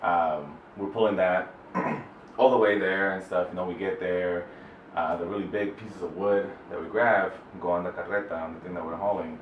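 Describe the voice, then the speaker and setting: A man from El Salvador telling a personal story off the cuff, in a halting, relaxed way with many fillers and restarts. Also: sits low, dull audio, a little hiss or hum. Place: Houston interview space. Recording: roomy distant mic with faint ambience.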